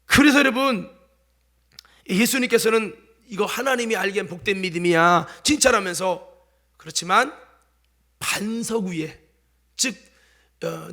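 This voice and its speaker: A male pastor with a light Chungcheong accent, preaching a sermon in church. He swings between loud exhortation and hushed asides and keeps quizzing the congregation. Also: high-pitched, creaky. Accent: light Chungcheong Korean.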